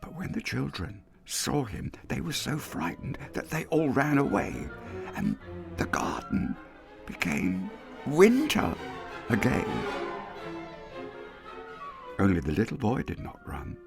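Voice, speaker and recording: A man giving an expressive dramatic reading of a classic tale, lingering over words and dropping to hushed asides. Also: medium pitch, a little slow, vocal fry. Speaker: storyteller. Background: soft music underscore.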